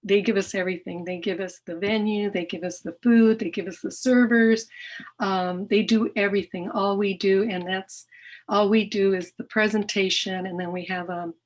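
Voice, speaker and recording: Middle-aged woman speaker, earnest presenter, mid-pitched voice, talks with frequent fillers and restarts. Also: fairly even intonation, moderate pace, poor audio. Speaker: nonprofit founder presenting to a club. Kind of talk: presentation talk with slides.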